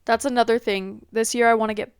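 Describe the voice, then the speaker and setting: A woman's voice: on the high side, steady tone, fast, smooth, no echo, clean studio sound. Chatty young woman with a stuffy nose. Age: young adult. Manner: chatty, scattered, self-deprecating. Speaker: podcast host. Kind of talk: rambling casual vlog chat. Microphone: laptop built-in mic.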